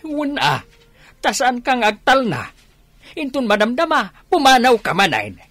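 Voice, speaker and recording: A woman's voice: a little high, huge pitch swings, measured pace, fairly bright tone, dry recording, heavily acted. An actress playing a weary, scolding elderly mother in a radio drama. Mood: chiding. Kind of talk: Filipino radio drama acting.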